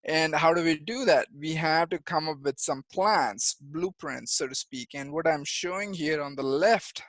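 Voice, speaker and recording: A man in his thirties from India, an engineer giving a career-day talk to children over a video call, explaining things simply with frequent pauses and restarts. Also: a little high, a bit bright, no echo. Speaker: guest engineer and project manager. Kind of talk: presentation for young children.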